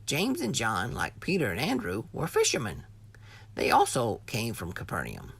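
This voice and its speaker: A woman narrating a scripted Bible lesson for children, teaching point by point with expressive storytelling. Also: rumbling low, normal speed, gravelly, slightly rough, no echo.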